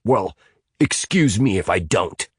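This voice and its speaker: Audiobook role, male reader: confrontational teenage lad, blunt, scornful, very lively delivery in a dry close recording. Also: sits low, slow, husky, no room sound, exaggerated voice. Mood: hostile, scoffing. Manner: blunt, scoffing.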